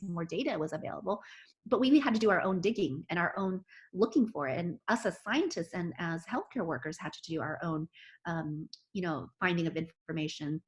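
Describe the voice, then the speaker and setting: Woman doctor in an interview, lowish voice, earnest, lots of ums and restarts. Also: poor audio. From United States. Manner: earnest, many restarts and fillers. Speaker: physician interviewee. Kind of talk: oral history interview.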